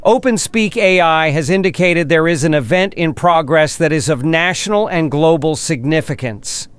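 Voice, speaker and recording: A man's voice: on the high side, moderate pace, clean tone, dry recording, lightly acted. A man playing a flustered breaking-news announcer, stalling and repeating himself during a live emergency broadcast in an audio drama. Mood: flustered, urgent.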